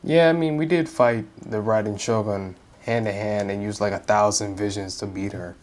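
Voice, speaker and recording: A man's voice: fairly low pitch, normal speed. A young man giving informal, slangy let's-play commentary as a gaming YouTuber.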